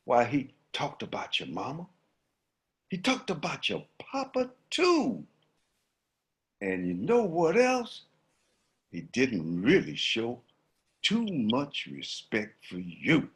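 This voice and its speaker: Storybook trickster monkey, male, sly and taunting, done by a male storyteller, slow and lively. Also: mid-range voice, full character voice. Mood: gleeful.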